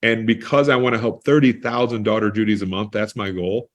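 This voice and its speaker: A man's voice: fairly low pitch, flat delivery, brisk. A man in his forties, a guest in a casual interview, speaking with an enthusiastic, emphatic manner. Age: 40s.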